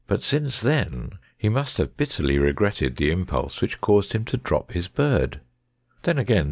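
A very low, gravelly gentleman detective, acted by a man in an audiobook drama. He is shrewd and commanding, with precise phrasing and a lively, swooping intonation. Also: no echo, clean studio sound, exaggerated voice.

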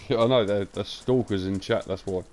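A young man with a croaky voice, joking crudely and chatting casually while live-streaming a game. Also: fairly low pitch, moderate pace, darkish tone. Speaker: gaming streamer.

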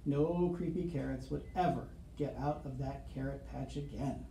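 A middle-aged man reading a children's picture book aloud expressively, with suspenseful pauses and asides to young listeners. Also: medium pitch, vocal fry, mellow. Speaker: school storyteller reading to children. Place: small office, seated at desk. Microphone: camera across the desk. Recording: roomy office sound, faint background noise.

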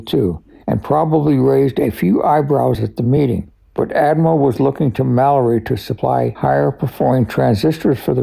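Gravelly, sharp-voiced man telling tech history. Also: medium pitch, poor audio. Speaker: radio collector and expert guest. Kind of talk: conversational scripted narration of tech history.

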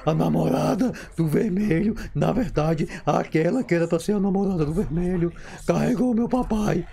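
A man with a Brazilian Portuguese accent giving excited, playful, mock-dramatic reaction commentary. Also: sits high, normal speed, creaky. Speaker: reaction video host.

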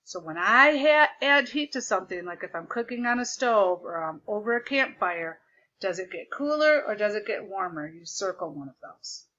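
A woman teacher projecting her voice loudly as she explains a lesson step by step over a video call, repeating the key points. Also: mid-range voice, animated, moderate pace, dull audio. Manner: loud projected voice. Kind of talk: remote classroom lesson. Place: home desk with a document camera. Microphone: computer mic on a video call.

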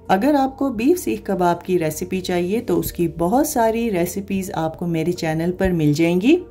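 Female cooking host, warm low-key delivery, instructional voice-over. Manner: calm step-by-step explaining, addresses viewers directly. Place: voice-over, not recorded in the pictured kitchen.

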